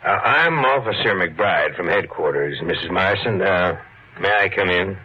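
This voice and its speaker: Deep-voiced, halting police officer. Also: male voice, lively intonation. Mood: polite, halting. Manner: halting, formal. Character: hesitant, courteous male police officer on official business. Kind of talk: 1940s radio mystery acting. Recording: dull, hissy old radio recording.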